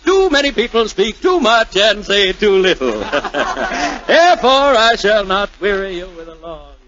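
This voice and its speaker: Fussy flustered gentleman, pompous, very animated pitch, 1940s radio comedy, dull vintage recording with music. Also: measured pace. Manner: pompous, dithering speechmaker. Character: fussy, flustered, long-winded older gentleman hosting a rally.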